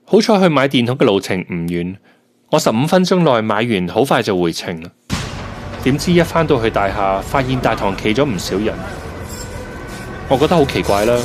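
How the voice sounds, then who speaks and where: A man playing a suspicious tenant who narrates his own investigation in a Cantonese radio drama, with a Hong Kong accent. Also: fairly low pitch. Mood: animated. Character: suspicious male tenant nervously investigating a hidden camera.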